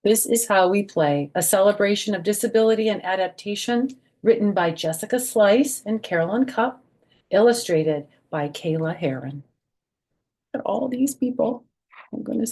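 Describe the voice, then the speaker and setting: Older woman, expressive storytime reader. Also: mid-range voice, moderate pace, gravelly. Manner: expressive, sing-song reading with soft, gentle asides. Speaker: storyteller, children's author and former schoolteacher. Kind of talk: children's read-aloud storytime with chatty asides.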